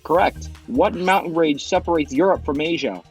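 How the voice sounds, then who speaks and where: A man with a high voice runs a quiz game, reading each question crisply and calling answers correct or not. His delivery is brisk and formal, with light music playing faintly underneath. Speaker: quiz show host.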